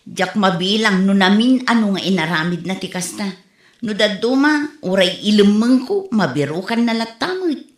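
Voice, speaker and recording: A woman acts a fed-up daughter-in-law in a comedy drama, airing her complaints in a medium-pitched voice. There is a clear room echo. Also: lively intonation, normal speed, lightly acted. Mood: exasperated. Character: fed-up daughter-in-law complaining about a stingy old father-in-law. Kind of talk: Ilocano comedy radio-style drama. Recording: roomy echo on the voice.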